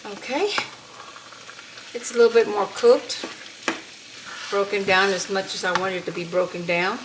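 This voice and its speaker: A middle-aged woman hosting a casual home cooking video, talking in an unhurried, homey way while she cooks, with frequent fillers. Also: medium pitch, steady tone, background noise. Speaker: cooking channel host. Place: home kitchen. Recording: home kitchen with sizzling pan. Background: frying and sizzling.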